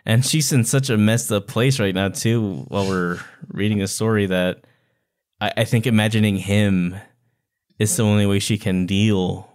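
A man's voice: fairly low pitch, fairly even intonation, moderate pace, darkish tone. A man co-hosting a podcast, giving his opinions in a casual book discussion. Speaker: podcast co-host.

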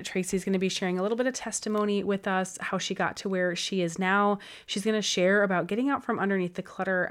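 A woman hosting a podcast, her voice hoarse, crackly and a bit stuffy, talking in an upbeat, chatty way. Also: lowish voice. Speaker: podcast host. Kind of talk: podcast intro and interview.

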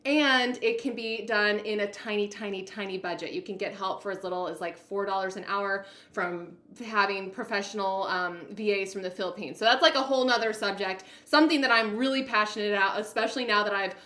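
A woman with a naturally loud voice hosting a podcast solo, talking in an upbeat, hyper and emphatic way. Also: mid-range voice, brisk, hardly any pauses, echoey room. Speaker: podcast host, business coach.

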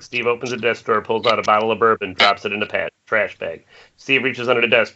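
A man's voice: lowish voice, bright, forceful tone. A man reading stage directions as scripted narration at an amateur table read over an internet call. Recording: dull lo-fi Skype call, faint noise.

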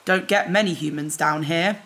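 A woman voicing a shady, matter-of-fact alien bear-like repair trader in a sci-fi audio drama, deadpan and clipped. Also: low-pitched, normal speed, a little hiss or hum. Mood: dry, ominous.

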